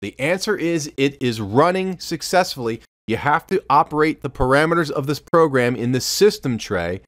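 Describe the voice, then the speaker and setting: A man's voice: on the high side, expressive, moderate pace, a bit bright, dry recording. Middle-aged man giving a dry-recorded tutorial. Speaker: software tutorial host. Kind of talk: instructional screen tutorial. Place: small home studio room, indoors.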